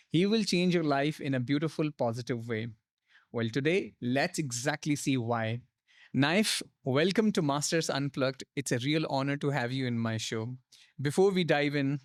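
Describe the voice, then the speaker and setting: Higher-pitched male podcast host, dry sound. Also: no room sound. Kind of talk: interview hosting, prepared introduction and questions.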